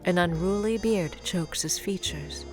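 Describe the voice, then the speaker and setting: A woman's voice: on the low side, unhurried, darkish tone, faint music behind, natural voice, poor audio. A woman acting as the grave, brooding narrator of an audio drama, reading dramatic scripted narration. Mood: somber, measured.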